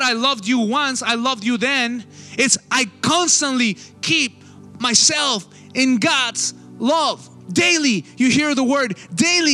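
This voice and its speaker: A young male pastor with a high, bright, ringing voice preaches in a conversational way, dropping quick asides. His voice carries a long hall reverb over soft music behind him. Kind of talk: sermon.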